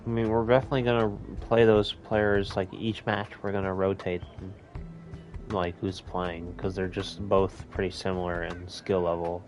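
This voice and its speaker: An American man giving rambling, casual gameplay commentary at home, chuckling often and quick to rant. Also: sits low, flat delivery, darkish tone, faint music behind. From United States. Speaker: gaming video host. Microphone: home recording setup.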